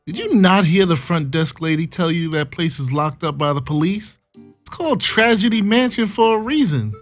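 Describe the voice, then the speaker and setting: A man's voice: fairly high pitch, expressive, moderate pace, dull audio, faint music behind, close to an everyday voice. A man playing a cautious, skeptical young man who is exasperated and reluctant, in a cheesy horror audio drama. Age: young adult. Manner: wary, exasperated.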